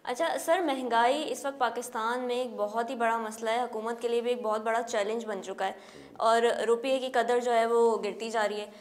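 A young woman with a Pakistani accent hosts a news interview. Her voice is on the high side as she puts questions to her guest. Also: fairly even intonation, moderate pace. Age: young adult. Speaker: news show host.

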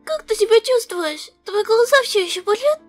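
A woman's voice: very high-pitched, animated, moderate pace, faint music behind. A woman voicing an anxious, caring little skeleton child in a comic dub. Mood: anxious, eager.

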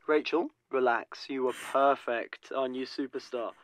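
An actor playing a breezy, gushing but callous studio producer in an audio drama. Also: male voice, medium pitch, steady tone, measured pace, no room sound, close to an everyday voice. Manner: breezy, effusive.

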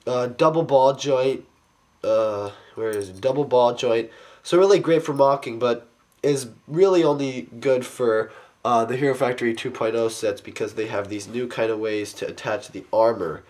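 Young male reviewer, unscripted and loose, filler-heavy delivery, average-pitched voice. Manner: rambling, many fillers, enthusiastic asides. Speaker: toy reviewer. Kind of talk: casual unscripted toy review. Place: indoors, carpeted room.

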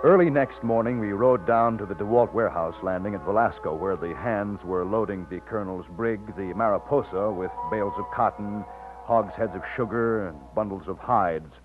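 A man plays an earnest young Texas overseer with a fairly low voice. He is polite and modest in old radio-drama style, on a muffled recording with clear music behind. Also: normal speed, close to an everyday voice. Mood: narrating. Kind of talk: old-time radio drama acting and narration.

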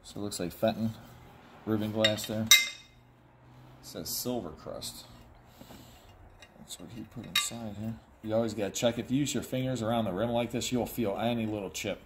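A man's voice: fairly low pitch, fairly even intonation, moderate pace, pauses often. A middle-aged man giving casual, playful commentary as he handles items, thinking aloud and given to exclaiming. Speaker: auctioneer and host. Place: large cluttered indoor workshop or warehouse. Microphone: handheld phone camera a step or two away. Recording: noisy handheld recording with handling clicks.

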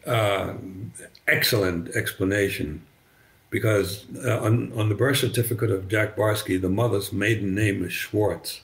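Older guy, slight german accent, kinda low and gravelly, lots of uh and restarts, on a video call. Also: steady tone. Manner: halting, many restarts and fillers. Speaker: podcast guest. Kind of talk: conversational storytelling. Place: bright living room, indoors. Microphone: video call webcam.

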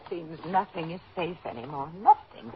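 A woman's voice: lowish voice, lively intonation, normal speed, heavy background noise. A woman playing a haughty, fretful well-to-do wife in an old radio drama, complaining dramatically. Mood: fretful, snippy. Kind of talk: 1950s radio drama acting. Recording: muffled old radio recording.

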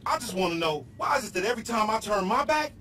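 A man acting a loud-mouthed, goofy American hustler in a video game, boastful and full of slang. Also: high-pitched, lively intonation, distant mic, slight background noise. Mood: boastful, needling. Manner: slangy, boastful, fast-talking hustler patter.

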